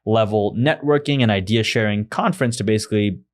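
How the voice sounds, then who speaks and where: Low-voiced young man from Malaysia. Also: fairly quick. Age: twenties. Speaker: pharmacy fellow, guest co-host. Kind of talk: casual podcast chat with announcements.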